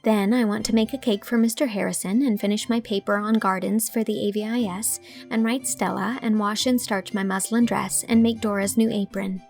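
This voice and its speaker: A woman voicing an earnest, impulsive young schoolteacher in audiobook dialogue, eager and high-minded. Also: fairly even intonation, fairly quick, creaky, hardly any pauses, a little mellow, faint music behind, natural voice. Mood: virtuous, eager.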